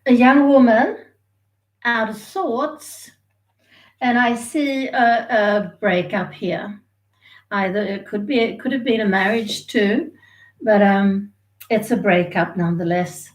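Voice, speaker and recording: A woman's voice: mid-range voice, slow pace, low-quality recording. A woman, from Sweden and Australia, giving a chatty, rambling live tarot reading and laughing easily. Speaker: tarot reader.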